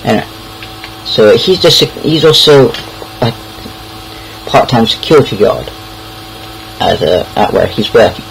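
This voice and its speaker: A young man with a British accent tells personal stories in a casual, rambling way. His voice sits at a middling pitch, and he often stalls and repeats words. Noticeable background noise runs through the homemade-sounding recording. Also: animated, measured pace, gravelly, long pauses, rough recording. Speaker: online storyteller. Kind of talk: casual anecdotal storytelling.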